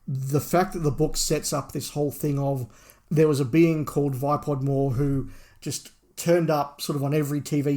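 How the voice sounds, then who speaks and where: A man's voice: on the high side, darkish tone. A man co-hosting a podcast, talking through a review casually and thinking aloud as he goes. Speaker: podcast co-host.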